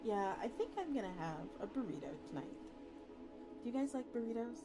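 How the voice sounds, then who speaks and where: Female live streamer, casual chat with an audience, high voice, clear music bed behind her. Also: animated, a little fast. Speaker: VTuber streamer, host. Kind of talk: live stream reading a story aloud, casual chat with viewers.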